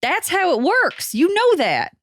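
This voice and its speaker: A confident, sassy cowgirl gunfighter in a tabletop western, played by a woman. The delivery swings widely in pitch as it taunts and challenges, and the sound is dry and close. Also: huge pitch swings, unhurried, fairly bright tone, dry recording, exaggerated voice. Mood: indignant.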